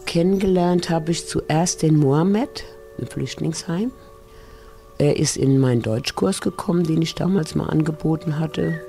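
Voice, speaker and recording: Man, volunteer teacher, gravelly and mellow, relaxed storytelling, music faintly under him. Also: a little high, unhurried. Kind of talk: interview recollection.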